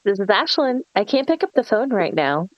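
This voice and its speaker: A woman playing a brash, foul-mouthed young woman in a horror script table read, with a taunting, drawn-out delivery. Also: medium pitch, big pitch swings, no room sound, lo-fi sound, close to an everyday voice. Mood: breezy.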